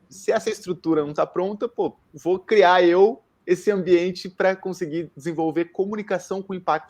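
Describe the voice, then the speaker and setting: A man talking in an intense, expressive way as a guest introducing himself, using slangy interjections. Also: on the high side. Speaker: guest, founder of a social communication initiative. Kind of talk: casual self-introduction on a talk.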